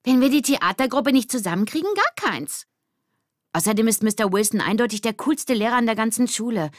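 A woman's voice: fairly high pitch, animated, fairly quick, no room sound, clean studio sound, pitched above the performer's own voice, close to an everyday voice. A woman voicing an eager, pleading, chirpy schoolgirl in a children's audiobook, in standard German.

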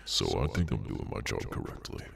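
A man voicing a cursed, inhuman henchman in an ASMR roleplay, bored, sardonic and menacing, with an unhurried dry delivery. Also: sing-song, recorded from a distance, exaggerated voice. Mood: cold, dismissive. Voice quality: very deep, gravelly. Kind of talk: ASMR audio roleplay, slow dramatic monologue.

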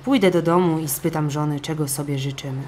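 A woman's voice: deep voice, a little fast, a little mellow, slight background noise, lightly acted. A woman voicing a humble, good-natured, henpecked old fisherman in a storybook, meek and earnest.

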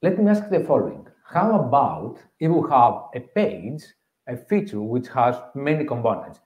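A man with a Greek accent presents at a live developer meetup, earnestly walking the audience through each idea and circling back to his main points. His voice sits in a middle pitch. The sound is roomy and a little dull, as if picked up from across a meeting room. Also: very animated, moderate pace. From Greece. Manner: earnest, explanatory, repeats key points. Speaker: conference speaker. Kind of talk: tech meetup talk.

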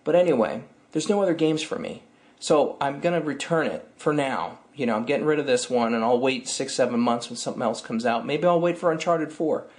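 A slightly hoarse man in his thirties vents his frustration straight into a webcam. He speaks casually and sounds exasperated, and his voice rises when he complains. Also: mid-range voice, brisk. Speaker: tech vlogger. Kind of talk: casual vlog rant. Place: dim room indoors.

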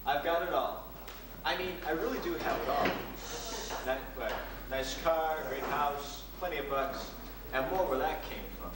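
A man's voice: on the high side, unhurried, close to an everyday voice. Boastful, well-off young man played by a teenage actor, school stage monologue, very reverberant and far from mic. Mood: boastful, reflective. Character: boastful young man from a newly rich family. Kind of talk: high school theatre monologue rehearsal. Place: large school rehearsal room. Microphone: far camera. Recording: very echoey room, distant camera, heavy noise.

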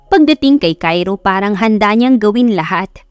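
A woman's voice: medium pitch, expressive, moderate pace, clean tone, no room sound, soft background music. A woman with a Filipino accent reading a scripted romance story as an audiobook narrator.

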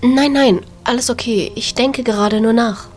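A woman's voice: a little high, normal speed, a little mellow, soft background music, lightly acted. A woman playing a pensive, troubled mother goddess in an audio drama, speaking in a subdued way. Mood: troubled, evasive. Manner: absent, subdued.

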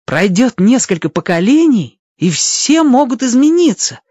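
A man voicing an excitable, curious young boy hero in a radio story, talking with eager wonder. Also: extremely high pitch, unhurried, dry recording, lo-fi sound, voice pushed up, heavily acted. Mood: enthusiastic musing.

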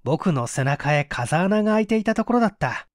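A man's voice: high-pitched, big pitch swings, a little fast, dry recording, studio quality. A man voicing a composed, wry male detective in an old mystery story. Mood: wry composure.

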